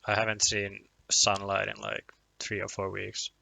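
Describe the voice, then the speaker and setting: A man's voice: on the low side, completely flat delivery, unhurried, creaky, sharp tone, slight background noise, poor audio. A young man from Finland with a Finnish accent and Finnish as his first language, chatting casually on a poker live stream, rambling and self-deprecating with lots of restarts and chuckles.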